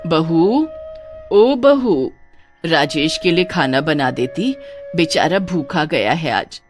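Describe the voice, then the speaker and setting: A woman voicing a poor, gentle old mother-in-law in a story, plaintive and drawn-out. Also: deep voice, animated, music in the background. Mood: pleading.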